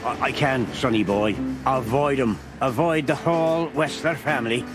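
An actor playing a folksy, sly old beaver shopkeeper in a film noir game, with a teasing manner. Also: male voice, medium pitch, normal speed, close to an everyday voice. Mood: cagey, cautioning. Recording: music behind the voice.

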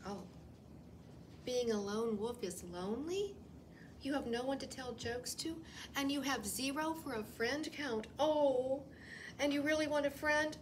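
A woman's voice: high-pitched, a little slow. A woman voicing a nervous, fibbing little rabbit in a children's picture book, stammering and insisting with flustered emphasis. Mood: hesitant, softening. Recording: distant mic, faint room noise.

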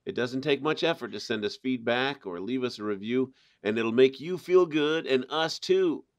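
A man's voice: mid-range voice, lively intonation, normal speed. A man hosting a podcast in casual round-table chat. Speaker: podcast host.

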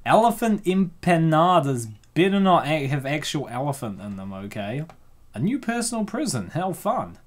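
A man's voice: fairly high pitch, big pitch swings, moderate pace. Man making playful, slangy commentary. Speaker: streamer reacting to comic dubs. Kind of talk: casual joking commentary.

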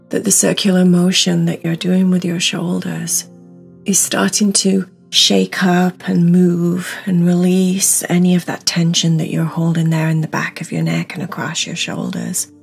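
Female meditation guide: lowish, gravelly-edged voice, very slow delivery, soothing and encouraging instruction, music underneath. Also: darkish tone.

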